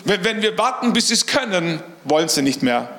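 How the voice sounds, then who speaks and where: A man's voice: high-pitched, sing-song, brisk, fairly bright tone, roomy sound. A middle-aged man preaching a sermon as pastor, earnest, often repeating words as he searches for the phrasing.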